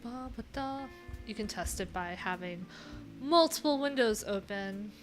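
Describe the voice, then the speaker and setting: A woman's voice: medium pitch, lively intonation, a little slow. A woman in her thirties hosting a casual live coding stream, playful and thinking aloud in fragments. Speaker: live-stream host and web developer. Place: indoor room, live stream. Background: guitar music.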